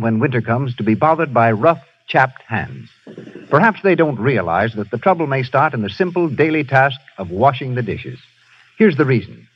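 A man announcing on a radio show, reading a soap commercial and cast credits in a persuasive delivery. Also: mid-range voice. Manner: smooth persuasive sales delivery. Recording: muffled 1930s radio broadcast.

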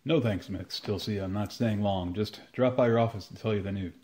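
An aging landowner played by a man in a radio comedy. He has a relaxed, mellow tone and a plain, matter-of-fact way of talking. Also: lowish voice, fairly quick, close to an everyday voice. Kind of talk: old-time radio comedy acting.

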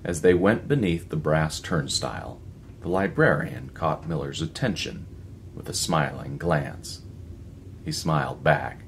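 A man in his fifties reading a story as a voice actor, in a measured, expressive, dramatic narration. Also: a bass voice, a little hiss or hum. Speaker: voice actor, audiobook reader. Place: living room, indoors.

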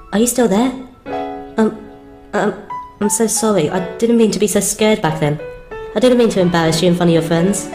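A woman voicing a frightened, hurt young boy who pleads haltingly and repeats words. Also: mid-range voice, lightly acted. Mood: scared, hurt. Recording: echoey, music behind the voice.

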